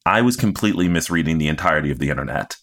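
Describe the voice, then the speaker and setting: Low-voiced man in casual podcast chat. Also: expressive, rapid-fire, fairly bright tone. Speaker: podcast co-host.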